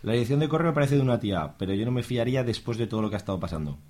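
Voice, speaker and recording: Male actor as suspicious best mate in a radio serial: Spanish accent, very quick delivery, medium pitch, slangy, blunt. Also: expressive, a little mellow, lightly acted. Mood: worried. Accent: Spain. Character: wary, alarmist male friend on a phone call. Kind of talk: amateur radio serial acting.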